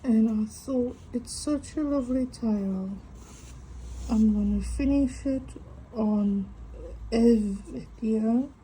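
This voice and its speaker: A woman's voice: a little high, slow, mellow, a little hiss or hum, low-quality recording. A woman with a stutter, chatting casually to camera while she draws and recorded on a phone. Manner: reflective, talks to herself while drawing. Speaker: tangle art vlogger.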